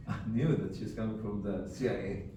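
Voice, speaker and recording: A man telling animated anecdotes to a group of staff, acting out the dialogue. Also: lowish voice, unhurried. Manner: animated, acts out dialogue, laughs often. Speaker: charity founder telling stories to staff. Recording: echoey room from far off with background noise.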